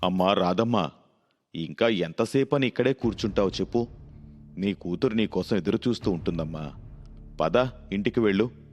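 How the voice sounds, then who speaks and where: A man's voice: low voice, moderate pace, long pauses, a little mellow, long reverb tail, soft background music, natural voice. A man playing a practical, helpful apartment worker in a storybook tale, giving matter-of-fact advice. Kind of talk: storybook dialogue for a moral tale.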